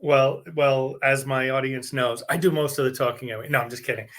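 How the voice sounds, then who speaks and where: A man's voice: medium pitch, steady tone, brisk, over a noisy crowd. A man hosting an interview podcast, chatty and joking, often repeating words as he starts a sentence. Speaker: podcast host, benefits advisor. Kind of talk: casual interview chat.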